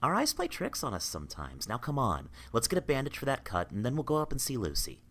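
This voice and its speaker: A man plays a practical, reassuring doctor in old-time radio drama. His mid-pitched voice is bright and very animated. He soothes and gently dismisses any alarm. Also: quick pace, soft background music, full character voice. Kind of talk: vintage radio drama acting.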